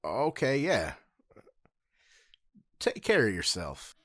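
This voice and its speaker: Man playing an easygoing, lively-pitched hero. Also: takes it slowly, dry recording, clean studio sound, full character voice. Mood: easygoing, awkward. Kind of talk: audio drama acting.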